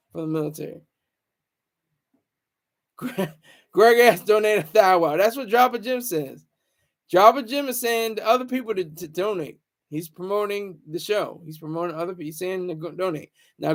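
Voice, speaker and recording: A man with a distinctly high-pitched voice hosts a casual live chat. He rambles along, answering viewers and restating his phrases over and over to drive them home. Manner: rambling, repeats phrases for emphasis. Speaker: livestream host.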